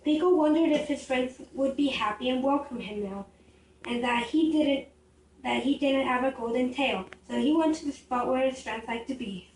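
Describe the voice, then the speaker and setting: A female storyteller narrating a scripted fable, reading aloud haltingly and repeating words here and there. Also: high voice, a little mellow. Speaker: storyteller in a puppet show. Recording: roomy, distant home recording with noise.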